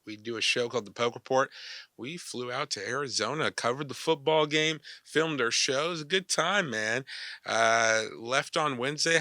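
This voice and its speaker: A young man hosting a casual sports podcast, chatty and energetic with loose slang, his voice a little stuffy from a cold. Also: mid-range voice, no room sound. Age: young adult. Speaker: podcast host.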